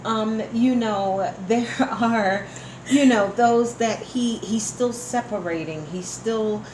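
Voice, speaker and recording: A woman's voice: a little high, takes it slowly, a little mellow. A woman hosting a live radio interview chat in an effusive, encouraging way. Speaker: radio show host. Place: indoor room at a live online broadcast. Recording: roomy live sound, faint noise.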